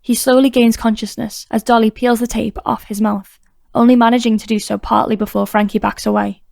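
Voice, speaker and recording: Narrator, female: scripted crime audiobook reading, mellow tone, slightly high register, steady. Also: fairly quick, creaky, dry recording.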